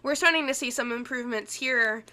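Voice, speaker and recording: A woman's voice: a little high, fairly quick, bright, forceful tone. A young woman co-hosting a casual podcast chat, her voice stuffy and congested from illness, talking in a chatty way with lots of laughs and ums. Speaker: podcast co-host.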